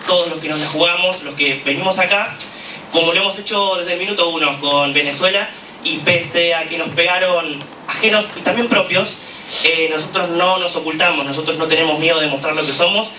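A man's voice: on the high side, fairly quick, bright, forceful tone. Argentine guy giving a fiery political speech, lots of eh's, far from the mic, roomy echo. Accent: Argentine Spanish. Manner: fervent, with frequent "eh" fillers. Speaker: activist speaker at a political gathering. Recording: echoey room, from a distance, noisy.

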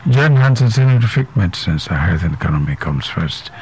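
A male radio host from Nigeria with a gravelly, rough-edged voice that rises and falls a lot as he talks. He moves from reading news to chatting with a guest, full of fillers and restarts, with a faint hiss under the line. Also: low voice, big pitch swings, fairly quick. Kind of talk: radio news and health show presenting.

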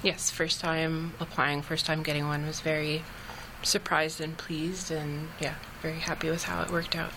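A woman's voice: low-pitched, level tone, normal speed, fairly bright tone, a little hiss or hum, low-quality recording. A young woman artist answering interview questions in a hesitant way, with many ums. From Canada. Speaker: artist guest.

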